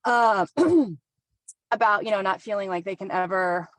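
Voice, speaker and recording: A woman's voice: medium pitch, expressive, unhurried, no echo. Earnest woman talking from a car. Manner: earnest, many fillers, clears throat. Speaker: group participant, single mother. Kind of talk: casual sharing in a video call.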